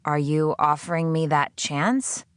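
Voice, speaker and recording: A woman's voice: sits low, measured pace, dry recording. A defiant young female prisoner, nineteen and weary, voiced by a woman narrator. She is guarded and dryly sarcastic, in an audiobook recording with a close, very dry sound. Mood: cautious, probing. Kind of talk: audiobook character acting.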